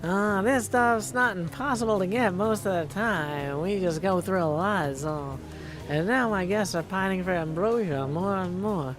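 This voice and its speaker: A man's voice: high-pitched, unhurried. A man voicing a laid-back, merry god of wine from a video game, with a familiar, easygoing drawl. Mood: breezy. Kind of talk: video game character dialogue. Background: music.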